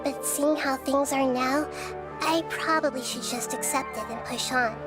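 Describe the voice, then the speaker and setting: A woman voicing a calm, wise young girl deity in a video game, speaking in a measured, explanatory way. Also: sits high, loud music behind the voice. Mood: gentle, reflective.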